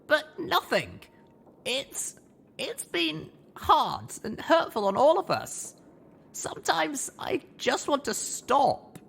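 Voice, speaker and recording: Man putting on an earnest young mare, high-voiced. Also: wildly swooping pitch, slow, creaky, a bit bright, heavily acted. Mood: weary. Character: young female pony, earnest, anxious and weary.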